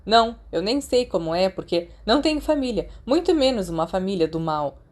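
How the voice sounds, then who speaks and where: A woman's voice: mid-range voice, sing-song, fairly quick, close to an everyday voice. Woman acting out a hot-tempered Brazilian teenage boy. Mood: angry, bitter. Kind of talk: podfic character acting.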